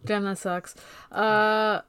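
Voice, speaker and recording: A woman co-hosting a podcast, chatting casually and jokingly with animated interjections. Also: mid-range voice, very slow, smooth, no echo. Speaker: podcast co-host.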